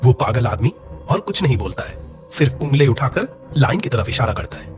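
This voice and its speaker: A man's voice: medium pitch, very animated, moderate pace, music in the background. A man narrating a scripted horror story dramatically.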